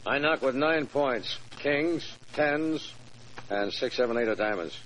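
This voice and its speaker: A man plays a racing boss who grumbles good-naturedly, his voice medium in pitch. It is old radio-drama acting, with faint noise on the recording. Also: a little slow, a bit bright, natural voice, low-quality recording. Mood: mock exasperated.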